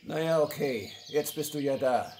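A man's voice: fairly high pitch, moderate pace, darkish tone, lightly acted. An actor playing an impatient, coarse male kidnapper in a radio play. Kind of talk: hobby German radio play acting. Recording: faint noise with birdsong.

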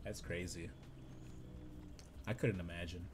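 Hoarse, slow-talking man in his thirties. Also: sits low, fairly even intonation, a little hiss or hum. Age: 30s. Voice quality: raspy. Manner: laid-back, unhurried, drifting between game remarks and stories. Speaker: game streamer. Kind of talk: casual live-stream chat while gaming.

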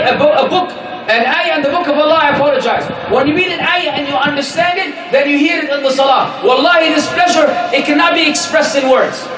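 A male preacher delivers an exhortatory sermon in a very high, raised voice. He stresses and repeats key phrases. The sound is strongly echoing, with clear noise and a distant feel. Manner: emphatic, exhortatory, with deliberate repetition. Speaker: preacher giving Friday sermon. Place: indoor room in a mosque. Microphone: stand microphone in front of speaker. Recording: echoey, noisy room, distant-sounding.

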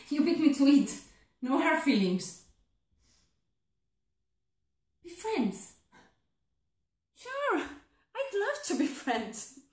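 A woman's voice: very high voice, heavily acted. An actress playing a heartbroken young woman being dumped who feigns indifference, in a theatrical monologue. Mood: forced cheer. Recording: echoey, roomy sound.

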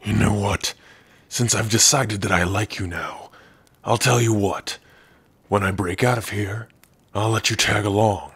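A man voicing a big, gruff orc prisoner in an ASMR roleplay, brooding and sardonic, with a husky, rough voice. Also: on the low side, steady tone, a little slow, fairly bright tone, no room sound, full character voice. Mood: warming, gruff. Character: big gruff male orc prisoner, guarded but lonely. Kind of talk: ASMR roleplay addressed to the listener.

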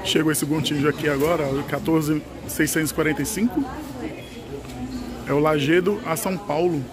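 A man's voice: fairly even intonation, moderate pace, a crowd in the background. A Brazilian man giving casual travel vlog commentary, pointing out buses as they come and go, recorded on the spot. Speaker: bus travel vlogger. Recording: noisy outdoor handheld recording with vehicle rumble.

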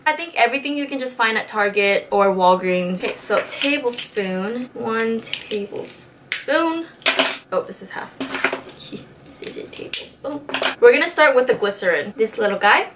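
A woman's voice: fairly high pitch, expressive, moderate pace, noisy background. A woman in her twenties chatting casually through a beauty tutorial, bubbly and slangy, swearing casually, in a small indoor studio. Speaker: beauty vlogger.

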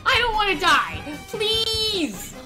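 A woman's voice: very high voice, sing-song, slow, music in the background. A young woman doing playful gameplay role-play commentary, dramatically pleading and exclaiming. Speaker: gaming video creator.

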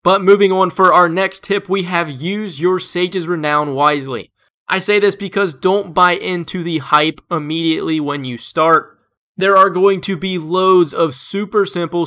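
A man with a stuffed-up nose narrates a game tips video from home. His voice sits high and sounds bright and forceful, with an upbeat, steady delivery from one tip to the next. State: stuffed up, congested. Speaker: gaming video creator. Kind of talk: scripted game tips voice-over.

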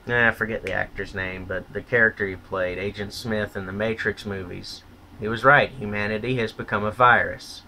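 A man's voice: on the low side, fairly even intonation, moderate pace, vocal fry, sharp tone, a little hiss or hum, low-quality recording. A man delivering a blunt, profane, contemptuous opinion rant as a solo vlogger.

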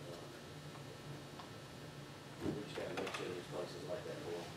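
Brief: low-voiced man, earnest public commenter, echoey hall, far mic, noisy. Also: completely flat delivery, normal speed. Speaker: public hearing speaker. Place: large public meeting room.

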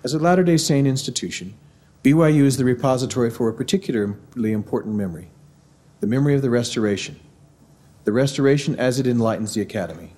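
A man's voice: mid-range voice, mellow. Earnest middle-aged man at a podium. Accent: Missouri. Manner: measured, earnest lecturing. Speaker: university speaker. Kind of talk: formal devotional address. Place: large university auditorium. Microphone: podium microphone.

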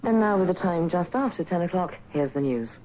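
A woman's voice: lowish voice, lively intonation, a little fast. A woman presenting live local radio in a chatty style. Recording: muffled radio clip with music.

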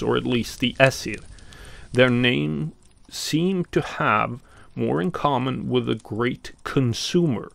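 Slow, gravelly-voiced Norwegian man. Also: mid-range voice. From Norway. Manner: slow and deliberate, with pauses and some fillers. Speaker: podcast host. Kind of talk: reflective commentary on mythology.

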